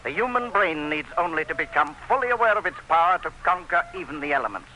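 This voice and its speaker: Casting: obstinate aging planter, sardonic, higher-pitched and very bright, on a faintly noisy old radio drama recording. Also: male voice, expressive, quick pace. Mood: defiant, proud. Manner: sardonic, confident, declamatory. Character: stubborn, self-assured aging hulking male plantation owner.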